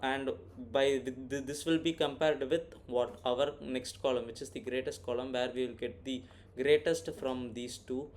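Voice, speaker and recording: A man walking through an informal screen-recorded coding tutorial, thinking aloud and often checking in with "right?". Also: mid-range voice. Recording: clear background noise, keyboard typing. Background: computer keyboard typing, background noise.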